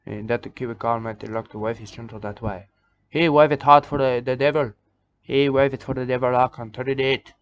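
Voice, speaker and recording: A man playing an odd male preacher of a nudist church, who talks in halting broken English and keeps repeating himself. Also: mid-range voice, fairly even intonation, close to an everyday voice. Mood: rambling. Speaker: self-styled church leader. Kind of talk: rambling comic monologue. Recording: dull lo-fi recording.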